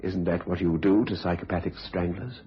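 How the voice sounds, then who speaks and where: An actor playing a frightened, anguished husband who fears for his sanity in a radio thriller, with a halting, overwrought delivery. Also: low-pitched, a little hiss or hum, close to an everyday voice. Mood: troubled, confessing. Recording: dull lo-fi radio recording.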